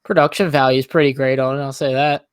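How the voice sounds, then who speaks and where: A man's voice: medium pitch, steady tone, fast, clear, resonant tone, no room sound. Laid-back male podcast co-host, dry sound. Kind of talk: casual pop-culture podcast chat.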